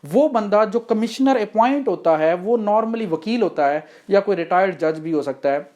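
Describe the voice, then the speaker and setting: A woman's voice: mid-range voice, animated, normal speed, a little mellow, muffled recording. A woman with a Pakistani accent lecturing on law in an explanatory, didactic way, often posing questions and answering them.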